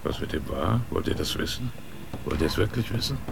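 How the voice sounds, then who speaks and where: A gloomy expedition hand in a radio drama. His voice sits low, with heavy creak, and he talks in a gruff, brooding, ominous way. Background noise is clearly audible around him. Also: lively intonation, a little fast. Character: gloomy, grumpy expedition man telling ominous tales.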